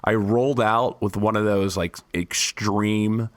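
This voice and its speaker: A man's voice: fairly low pitch, fairly even intonation, slow pace, no room sound. A young man co-hosting a podcast, stuffy and losing his voice, rambling crudely and laughing a lot. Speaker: podcast co-host. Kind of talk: casual chat.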